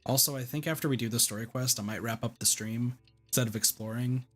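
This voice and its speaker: A streamer with a middle-pitched voice comments on a game. The talk is informal and casual, often circling back over the same phrases. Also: fast talker, soft background music. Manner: informal, repeats own phrases.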